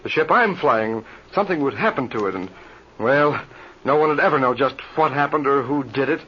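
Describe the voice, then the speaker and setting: An actor playing a nervous, suspicious pilot with halting, fretful speech in an old-time radio adventure serial. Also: male voice, mid-range voice, lively intonation, close to an everyday voice. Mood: anxious, fearful. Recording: dull, noisy old radio serial recording.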